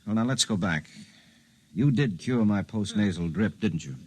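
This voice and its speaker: A man's voice: fairly low pitch, expressive, moderate pace, husky, no room sound. An actor playing a skeptical, troubled professional man in a 1950s radio drama, talking in blunt, colloquial, questioning lines. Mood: insistent, skeptical. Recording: 1950s radio broadcast recording.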